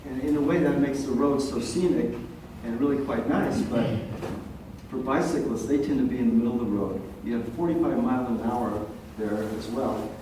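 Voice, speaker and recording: An older man who is a longtime local resident, speaking softly and haltingly at a community meeting. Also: mid-range voice. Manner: soft-spoken, rambling with pauses. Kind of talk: public comment at a community meeting. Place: large echoey meeting room. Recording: echoey room, distant mic, faint hiss.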